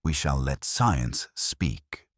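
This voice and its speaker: A man voicing a brilliant, commanding male detective who reasons in formal, deliberate, incisive phrases in an audiobook mystery. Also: a bass voice, expressive, dry recording, clean studio sound, heavily acted. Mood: measured, authoritative.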